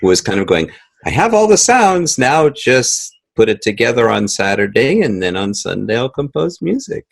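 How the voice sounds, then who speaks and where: A man's voice: medium pitch, big pitch swings, normal speed, vocal fry, no echo. A man speaking conversationally in an interview, explaining his work as a composer and sound editor and acting out little asides as he goes.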